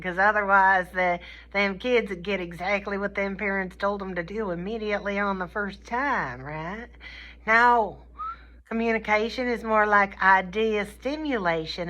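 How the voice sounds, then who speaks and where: A woman's voice: medium pitch, pushed and bright. A woman giving an informal, folksy comedic lecture, playful and colloquial, often checking in with her listeners. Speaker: lecturer teaching a communication class.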